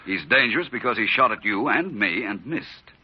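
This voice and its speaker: A man playing a shrewd, unruffled gentleman detective in a 1940s radio drama, speaking in a measured, polite, coolly accusing way. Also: lowish voice, expressive, slightly rough. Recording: muffled old-time radio recording.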